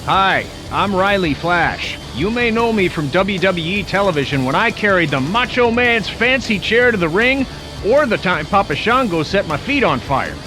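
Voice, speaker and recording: An actor playing a washed-up wrestler giving a hammy commercial pitch for his training academy in a video game. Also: sing-song. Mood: upbeat salesmanship. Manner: salesmanlike, hammy, fast disclaimer at the end. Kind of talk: cheesy local TV commercial pitch. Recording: loud music bed under the voice.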